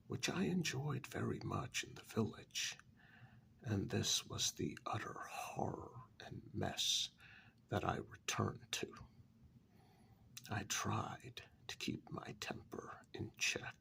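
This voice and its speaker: A man playing a formal, compassionate asylum staffer in a soft-spoken ASMR story, speaking in a breathy, creaky half-whisper with measured, deliberate pauses. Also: fairly low pitch, exaggerated voice.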